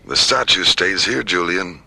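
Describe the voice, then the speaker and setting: An actor playing a principled science director, speaking firmly and articulately in a science fiction radio drama. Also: male voice, low-pitched, animated, vocal fry, noisy background, full character voice. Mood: cool, defiant. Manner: measured, firm, articulate. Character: principled, firm male science director opposing weapons.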